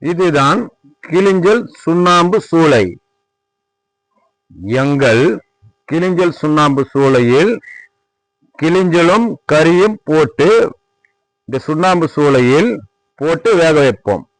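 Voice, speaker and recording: A man with a Tamil Nadu accent gives an informal product tour and sales pitch, repeating himself as he demonstrates and politely addresses the viewer. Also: slow, long pauses, no echo, low-quality recording. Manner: repetitive, demonstrative, addressing viewer respectfully as sir. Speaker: lime manufacturer presenting own workshop. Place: at a lime kiln worksite.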